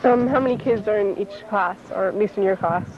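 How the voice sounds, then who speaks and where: A woman's voice: mid-range voice, fairly quick. A young female interviewer for a camp newscast, asking questions hesitantly, with restarts. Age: teens. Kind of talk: amateur camp news interview. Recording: muffled camcorder tape with hiss.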